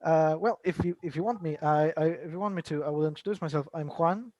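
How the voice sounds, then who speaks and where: A soft-spoken man, talking casually on a video call. He sounds modest and low-key. The sound is dry and close, with no room echo. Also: quick pace, dull audio. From Argentina. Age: late 20s. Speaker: language exchange participant.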